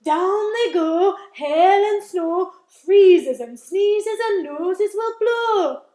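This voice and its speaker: A woman voicing a band of gleeful male cloud creatures who shout and chant. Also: very high-pitched, lively intonation, extremely slow, pitched above the performer's own voice, exaggerated voice. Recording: echoey room.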